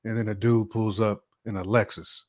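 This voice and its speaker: Low-voiced American man, casual and emphatic. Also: flat delivery, normal speed, darkish tone. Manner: colloquial, emphatic, with frequent "you know" check-ins. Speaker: podcast guest or co-host discussing relationships. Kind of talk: casual conversational podcast talk.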